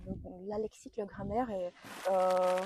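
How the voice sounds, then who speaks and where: Hesitant young woman, slow questions. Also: mid-range voice, little pitch movement, background noise. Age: young adult. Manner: hesitant, many fillers, laughs often. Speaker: student interviewer.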